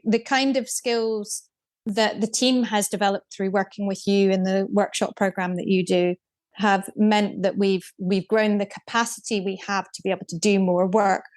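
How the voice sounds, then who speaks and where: A woman speaking as a podcast guest, explaining things in a measured way with frequent restarts. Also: mid-range voice, a little mellow. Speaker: guest, deputy programme director. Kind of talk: casual podcast conversation.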